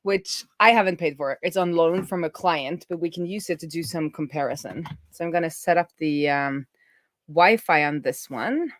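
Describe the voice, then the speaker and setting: Low-voiced Norwegian woman, chatty host. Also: normal speed, dry recording. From Norway. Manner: chatty, thinks aloud with fillers and restarts. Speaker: streamer unboxing a laptop. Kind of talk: casual livestream chat.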